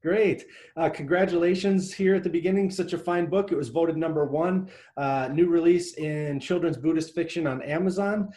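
A man's voice: a little high, moderate pace, dark tone. A man hosting a podcast, reading a scripted introduction and then asking the guest questions in an upbeat, encouraging way. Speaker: podcast host. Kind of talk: interview hosting, read introduction.

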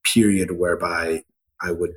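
A middle-aged man from Ecuador, a business founder answering interview questions in a halting way, with many fillers and restarts. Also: low voice, little pitch movement.

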